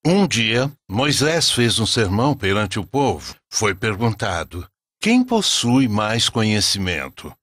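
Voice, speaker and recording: A Brazilian man narrating a religious cartoon story in a solemn, measured storytelling manner. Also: on the low side, sing-song, a bit bright, no room sound, studio quality.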